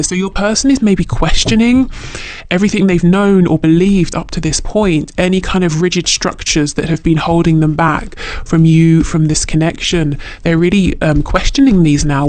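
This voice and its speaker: A man's voice: high voice, darkish tone, dry recording. A man giving an unscripted spiritual tarot reading to the viewer, reflective and often hesitant as he searches for phrasing. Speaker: tarot reader.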